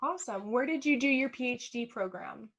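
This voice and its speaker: A woman's voice: on the high side, animated, a little fast, dull audio. A woman interviewing a guest on a podcast, asking casual, loosely phrased questions.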